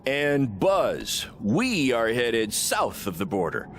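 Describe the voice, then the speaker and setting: A man's voice: mid-range voice, sing-song, takes it slowly, fairly bright tone, a little hiss or hum. An actor playing a self-assured, flippant wrestling promoter with a glib salesman's patter in a video game cutscene. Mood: upbeat, pitching. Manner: glib, upbeat salesman-like delivery. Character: fast-talking, self-assured small-time male wrestling promoter, cheerfully flippant.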